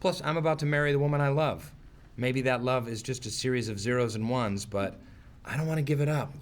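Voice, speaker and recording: An actor at a comedy table read playing an earnest, self-important office manager with a formal, blunt way of talking. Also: male voice, medium pitch, brisk, close to an everyday voice. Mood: sincere, regretful. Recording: faint noise, live room table read.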